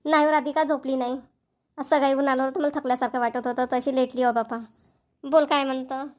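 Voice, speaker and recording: Woman voicing a weary high-pitched village mother-in-law. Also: fairly even intonation, fairly quick, close to an everyday voice. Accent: Varhadi Marathi. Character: tired, heavyset middle-aged village mother-in-law. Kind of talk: cartoon family comedy voice acting.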